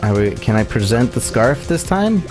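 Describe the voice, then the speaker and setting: A man's voice: medium pitch, very animated, normal speed, music in the background. A man giving casual commentary as he streams a video game playthrough.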